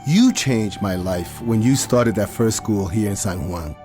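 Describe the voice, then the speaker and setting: A man's voice: fairly low pitch, animated, a little slow, mellow, faint music behind. A man playing a devoted younger brother, a courteous 19th-century schoolteacher, in a period audio drama. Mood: admiring, tender.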